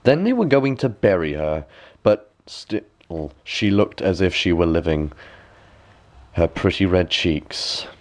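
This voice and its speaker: Low-pitched, animated male storyteller. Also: slow pace. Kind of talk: expressive bedtime fairy-tale narration.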